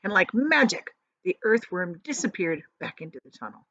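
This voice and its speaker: A woman's voice: lowish voice, very animated, moderate pace, sharp tone, no echo. A woman narrating a children's story dramatically, like a teacher reading aloud, in a slightly muffled room recording. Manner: dramatic, explains words to young listeners.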